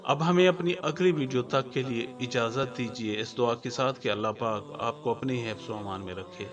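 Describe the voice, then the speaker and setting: A man hosting and narrating a scripted moral story in a formal, measured storytelling manner. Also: mid-range voice, crowd noise. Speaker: narrator and channel host. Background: background music.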